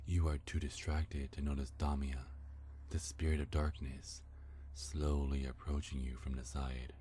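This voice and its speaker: Very deep, slow, mellow male narrator. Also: flat delivery, slight background noise. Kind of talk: scripted ASMR story narration in second person.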